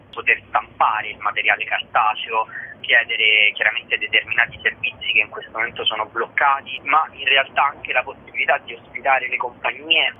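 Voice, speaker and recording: A man speaking as an interview guest, explaining his thoughts in a measured, articulate way over a phone call. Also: fairly low pitch. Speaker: interview guest, theatre director. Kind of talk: reflective interview talk. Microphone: phone call to a radio studio. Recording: tinny phone line with clear background noise.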